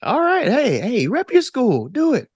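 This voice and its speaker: A man from Alabama chatting casually as an interview guest, in a playful, animated way. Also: extremely high pitch, a little slow, husky. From Alabama, United States. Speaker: podcast guest, actor and gamer.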